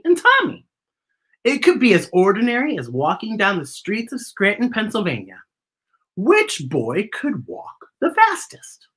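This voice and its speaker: A woman's voice: on the high side, sharp tone. A woman hosting a children's story time, reading a picture book aloud in a playful, theatrical way with chatty asides to the young listeners.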